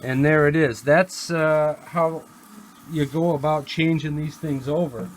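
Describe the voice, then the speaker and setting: A man giving a casual hands-on product demo, explaining step by step in a plainspoken, unhurried way. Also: on the high side, steady tone, a little hiss or hum. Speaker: vintage gear seller and demonstrator.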